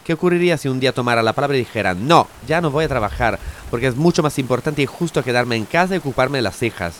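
A man's voice: medium pitch, animated, fast, a little hiss or hum, close to an everyday voice. An actor playing an earnest, argumentative young male activist in a scripted audio drama. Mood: indignant. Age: young adult. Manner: argumentative, rhetorical.

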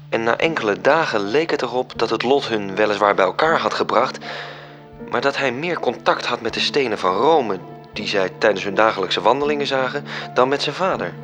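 A man's voice: fairly low pitch, moderate pace, lightly acted. A man playing a composed, solemn storyteller in a literary radio drama, narrating. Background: music.

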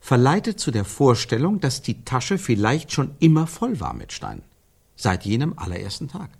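A male actor in a radio play as an insistent, searching man who theorises in emphatic, repeated phrases with pauses for effect. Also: mid-range voice, wildly swooping pitch, gravelly, slightly rough, heavily acted. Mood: insistent, probing. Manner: emphatic, repetitive, probing, pausing for effect. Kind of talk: radio play dialogue, rhetorical repetition.

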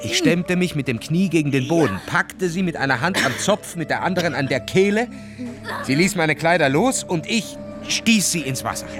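An actor playing an officer who recounts his adventure in measured, dramatic literary radio narration. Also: a little high, expressive, over music, close to an everyday voice. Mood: grave.

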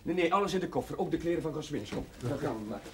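A man playing a brisk, commanding yet encouraging village chaplain in a television drama. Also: on the high side, expressive, fairly bright tone. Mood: brisk, bossy. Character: bossy, encouraging village chaplain dressed up as a helper. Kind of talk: Dutch 1970s television drama acting. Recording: echoey, noisy old television soundtrack.

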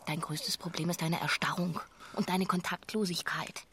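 An actress playing a middle-aged wife, an urgent, persuasive and self-righteous idealist, in a naturalistic radio drama. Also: female voice, fairly low pitch, fairly even intonation, a bit bright, slight background noise. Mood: scolding. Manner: insistent, gushing, halting repeats for emphasis.

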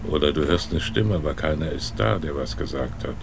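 A gloomy, deep, gravelly grouch. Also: male voice, lively intonation, brisk, noisy background, exaggerated voice. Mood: brooding, ominous. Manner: brooding, gruff. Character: gloomy, grumpy expedition man telling ominous tales. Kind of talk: radio drama dialogue.

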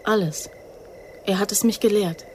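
A woman's voice: medium pitch, fairly even intonation, normal speed, warm tone, natural voice. Radio drama role female actor: earnest young woman recruit, mid-range voice, terse and restrained, slightly noisy recording. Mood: guarded, resolute. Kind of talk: radio crime drama acting.

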